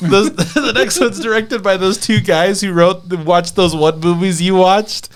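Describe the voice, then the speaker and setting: A man's voice: high voice, a little slow. A man co-hosting a podcast, talking casually about movies and repeating phrases as he works out his point. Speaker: podcast co-host.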